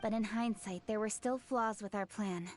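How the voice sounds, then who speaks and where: A woman's voice: medium pitch, normal speed, a little mellow, soft background music, lightly acted. An actress playing an exacting, earnest young female official in a video game, serious and formal.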